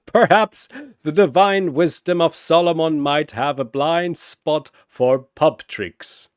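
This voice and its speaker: A man's voice: on the high side, slow pace, clean tone, dry recording, clean studio sound, pitched above the performer's own voice. A man voicing a jolly old panda brewmaster with a theatrical, courtly, amused manner, in a storybook narration.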